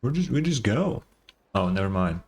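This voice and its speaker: A man's voice: lowish voice, big pitch swings, normal speed, a little mellow, breathy edge, soft background music. A young man giving casual, slangy gaming commentary, often incredulous and swearing. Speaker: streamer reacting to a game.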